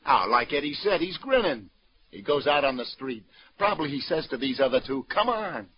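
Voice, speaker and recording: Slangy hard-boiled police detective, lively intonation. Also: male voice, a little high, normal speed, husky. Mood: brisk, reassuring. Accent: American. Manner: clipped slangy tough-guy patter. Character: hard-boiled, wisecracking New York police detective lieutenant. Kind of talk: 1940s radio detective drama acting. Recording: dull old radio recording.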